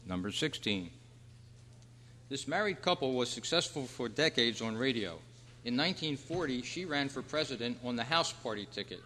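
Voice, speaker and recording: Bright-voiced male quiz host in a hall. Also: medium pitch, expressive, normal speed. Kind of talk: reading trivia questions to a club audience. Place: hall at a convention club meeting. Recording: reverberant hall, clear background noise and hum.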